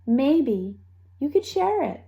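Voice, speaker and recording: A woman's voice: high voice, sing-song, slow, creaky, dull audio, pitched above the performer's own voice, heavily acted. A woman voicing a sensible storybook mourning dove, pleading and reasoning as a peacemaker.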